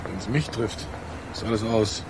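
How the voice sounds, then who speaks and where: A man's voice: fairly low pitch, unhurried, background noise, lightly acted. An actor playing a worried, resentful working-class family father with a gloomy, grumbling manner in a radio play.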